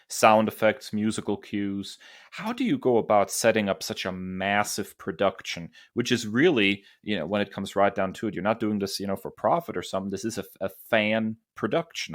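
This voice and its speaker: A man hosting a podcast, putting questions to his guest in a conversational style. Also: fairly low pitch. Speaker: podcast host and interviewer.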